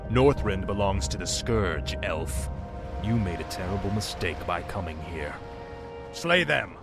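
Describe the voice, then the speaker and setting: An actor playing a grim, arrogant undead knight prince in a game cutscene, haughty and urgent. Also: male voice, deep voice, expressive, measured pace, over loud music. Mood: surprised, threatening. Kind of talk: video game cutscene acting.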